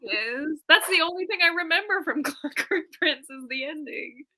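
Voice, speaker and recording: A woman with a crisp, very bright and highly animated voice chats casually as a co-host. Her voice sits fairly high and she sounds eager and giggly, talking books on a live video call. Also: moderate pace, poor audio. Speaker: co-host of a book discussion live stream. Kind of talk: casual chat.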